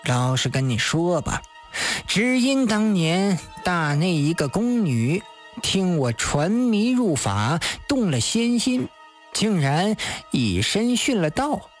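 A storyteller voicing a sly, mocking old Taoist master in an audiobook, gloating at a leisurely pace with drawn-out interjections. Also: mid-range voice, sing-song, faint music behind. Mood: smug, chuckling.

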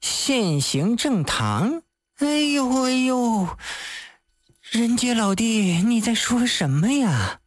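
A storyteller voicing a sly, mocking old Taoist master in an audiobook, gloating at a leisurely pace with drawn-out interjections. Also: medium pitch, sing-song, dry recording, clean studio sound. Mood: feigned surprise.

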